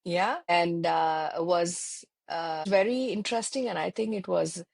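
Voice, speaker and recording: A middle-aged woman answers interview questions over a video call. Her voice sits low and slightly breathy, and the sound is dry and close. She talks in a measured, reflective way, pausing with small fillers. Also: steady tone, darkish tone, no room sound. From India. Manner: thoughtful, measured, with many fillers. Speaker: interview guest, tech channel lead.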